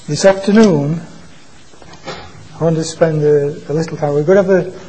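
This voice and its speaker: A man preaching an informal sermon into a microphone at a church meeting, in a quiet voice that stalls and restarts on words. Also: on the high side, slight background noise, rough recording. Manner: halting, repeats and restarts words. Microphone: microphone being adjusted.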